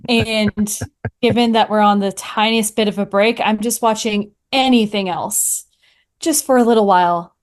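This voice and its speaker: A woman's voice: medium pitch, steady tone, moderate pace. Playful woman chatting on a podcast. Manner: playful, wry. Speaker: podcast guest and panelist. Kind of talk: casual chat.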